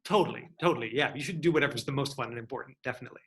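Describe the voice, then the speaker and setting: A man's voice: medium pitch, racing. A man working as an acting coach, teaching and answering questions in a rambling, encouraging, conversational way. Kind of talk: casual online acting lesson with question and answer.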